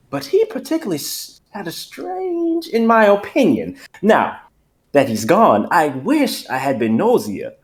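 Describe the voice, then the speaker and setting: A suspicious, opinionated male store cashier, acted by a man in a script reading. His voice sits fairly high and moves up and down a lot. He sounds insistent, dramatic and gossipy.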